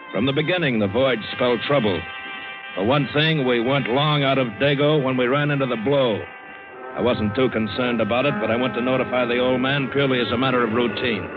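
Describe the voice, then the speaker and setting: An actor playing a world-weary, hard-boiled ship's mate in an old radio adventure drama, wry, terse and sardonic. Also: male voice, mid-range voice, fairly quick, music in the background, natural voice. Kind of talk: 1940s-50s radio drama narration. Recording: muffled old radio recording.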